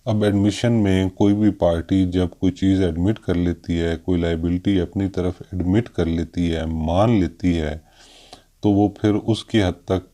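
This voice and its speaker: A Pakistani man explains law in a very low, mellow voice. He lectures haltingly, pausing to search for words, and drops English terms into his explanation. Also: steady tone. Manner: halting, with frequent hesitations.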